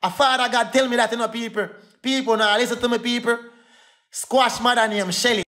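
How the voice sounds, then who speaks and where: High-voiced Jamaican man ranting angrily. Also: steady tone, sharp tone. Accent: Jamaican Patois. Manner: repetitive, insulting, fast heated rant. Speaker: livestreamer. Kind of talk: angry livestream rant.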